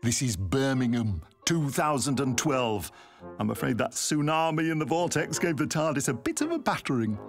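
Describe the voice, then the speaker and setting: A man voicing a brisk, cheeky, commanding time traveller in an audio drama, emphatic and teasing. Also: mid-range voice, very animated, soft background music. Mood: irritated, wry.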